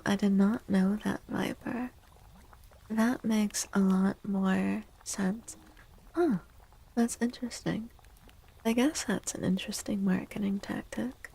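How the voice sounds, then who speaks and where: A woman streaming as a tarot host, speaking gently in a breathy ASMR style that often drops to a whisper, close to the microphone. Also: mid-range voice, rough recording. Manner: gentle, slow, drawn-out phrasing. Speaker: livestream host doing tarot readings. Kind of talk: soft-spoken ASMR, chatting to viewers.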